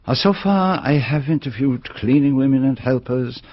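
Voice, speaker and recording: Courteous foreign detective, husky, expressive. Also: male voice, medium pitch, no echo. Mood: inquisitive, calm. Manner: formal, precise, measured, polite questioning. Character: courteous, probing, elderly male foreign detective. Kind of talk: radio mystery drama acting. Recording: dull, lo-fi radio drama recording.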